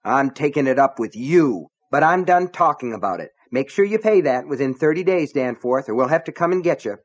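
A man's voice: animated, brisk, dull audio, natural voice. An adult man voicing a scrawny, nervous small-town deputy who talks in a shaky, defiant way. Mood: agitated. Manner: high-strung, trembly, defiant.

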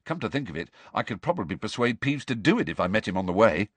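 A man's voice: on the low side, big pitch swings, quick pace, fairly bright tone, slightly rough, dry recording, heavily acted. A man voicing a loyal, blunt and informal teenage boy sidekick in an audiobook. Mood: eager, casual. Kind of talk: audiobook character voice.

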